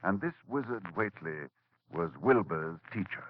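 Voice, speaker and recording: A man narrating a horror radio drama in a grave, measured storytelling manner. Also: deep voice, animated, very noisy. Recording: dull old radio broadcast.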